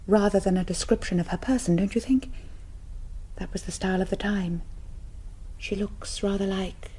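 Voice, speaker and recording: An actress playing a melancholy aristocratic vampire countess in a radio drama, languid and mannered. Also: female voice, medium pitch, dark tone, low-quality recording. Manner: languid, mannered, lapsing into breathy near-whispers. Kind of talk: BBC radio drama acting.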